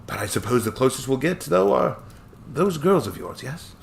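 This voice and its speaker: A man reading a story aloud and voicing a cheerful, chatty old Scottish male lawyer, raised higher than his own voice, with a lilting Scottish accent. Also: sing-song, moderate pace, vocal fry, a little hiss or hum. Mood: musing.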